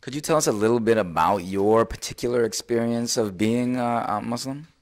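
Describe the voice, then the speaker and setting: A man conducting an interview, asking questions in a polite, halting, hedging way. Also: medium pitch.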